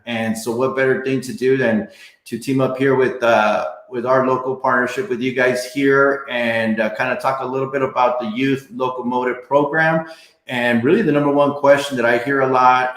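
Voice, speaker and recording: Guy hosting a live podcast, super casual, lots of uhs, echoey room. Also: mid-range voice. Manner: casual, loose, frequent fillers, addresses viewers directly. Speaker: realtor, podcast host.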